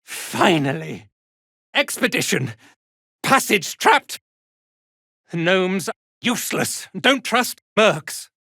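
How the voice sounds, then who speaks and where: An actor playing an arrogant, cruel and fanatical cult commander in a dark fantasy video game, with a haughty, contemptuous, theatrical delivery. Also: male voice, sits high, sing-song, drawn-out words, long pauses, breathy edge, no room sound, clean studio sound, full character voice. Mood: furious.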